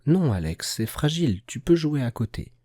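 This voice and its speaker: A young bear cub big brother, acted by a man in a storybook tale. He talks in a lifted, boyish pitch, bossy and quick to get exasperated with a younger sibling. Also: very animated, mellow, dry recording, clean studio sound. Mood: annoyed. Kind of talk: children's storybook character voice.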